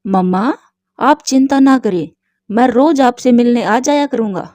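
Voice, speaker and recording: A woman's voice: fairly high pitch, measured pace, mellow, dry recording, natural voice. A woman voicing a mild young male bird, a yielding son and husband in a cartoon, in a placating manner.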